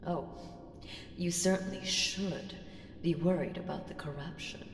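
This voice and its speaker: Slow, echoing ancient evil seductress, acted by a woman. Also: on the low side, noisy background. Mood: condescending, menacing. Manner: teasing, drawn-out, breathy murmurs slipping into whispers. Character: ancient evil female entity, seductive, menacing, playfully condescending. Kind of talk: ASMR audio roleplay. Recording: echoing reverb with music underneath. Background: music.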